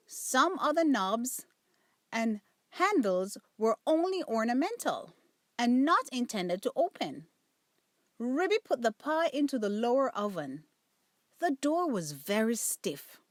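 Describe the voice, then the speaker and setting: A woman storyteller reading a children's bedtime story aloud, with careful, expressive phrasing. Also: on the high side, slow, no room sound, clean studio sound.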